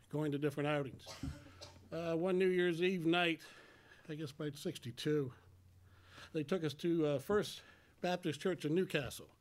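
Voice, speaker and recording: A man in his sixties giving a personal testimony to a congregation in a plain, folksy, halting way, speaking into a stand microphone. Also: fairly high pitch. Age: 60s. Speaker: church member giving testimony. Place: church sanctuary at a lectern.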